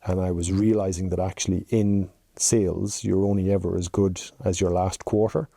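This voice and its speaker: A very dark, mellow-voiced Irish man in his forties answers interview questions in a chatty, anecdotal way. His voice is low and gravelly, recorded dry and close. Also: little pitch movement, normal speed, no room sound. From rural Ireland. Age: 40s. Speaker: podcast guest, chief executive.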